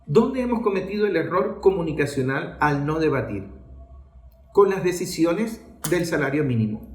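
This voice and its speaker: A man with a Venezuelan Spanish accent delivers political commentary in a didactic, emphatic way, using rhetorical questions and repetition. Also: high voice. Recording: echoey room sound.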